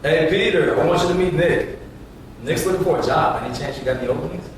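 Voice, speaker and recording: A man's voice: on the high side, fast, natural voice. A man reading the part of a friendly, encouraging support group leader at a script table read. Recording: echoey room, distant mic, faint noise.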